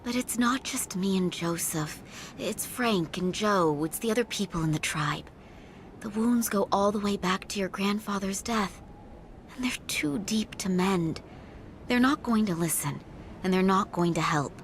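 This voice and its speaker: An actress playing a grave, fatalistic middle-aged mother in a video game, with a solemn, resigned, deadpan manner. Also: mid-range voice, a little slow, a little hiss or hum. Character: grave, fatalistic mother, a seer who hears voices. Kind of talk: video game cutscene dialogue.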